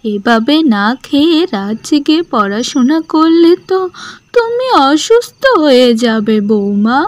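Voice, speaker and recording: Woman voicing a doting, high-voiced cartoon mother-in-law. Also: sing-song, a little slow, no echo. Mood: tender concern. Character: caring, doting middle-aged village mother-in-law. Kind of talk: slow melodramatic cartoon dialogue.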